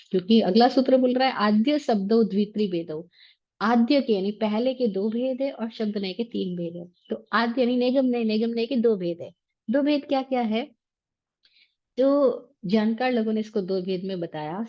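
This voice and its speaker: Woman teaching scripture, chatty and questioning. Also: a little high. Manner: conversational, rhetorical questions, mixes English phrases. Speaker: Jain religious teacher. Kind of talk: lecture on scripture. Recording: slightly muffled, lo-fi.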